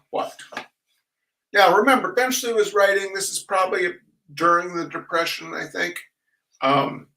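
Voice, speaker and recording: An older man hosting a reading of humorous essays, chatting casually and reading aloud in a wry, theatrical, halting manner. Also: fairly high pitch, sharp tone. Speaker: host of a weekly humor reading. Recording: roomy echo, distant microphone.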